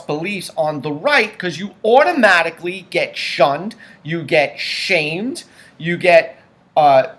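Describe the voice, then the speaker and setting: A man's voice: a little high, a bit bright. A man hosting a political commentary show, talking emphatically and stacking up points in lists.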